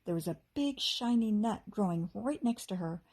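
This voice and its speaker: A woman teacher reads a children's story aloud. Her delivery is expressive and animated, moving between narration and the voices of the characters. Her voice sits at a medium pitch with a slightly husky edge. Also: moderate pace.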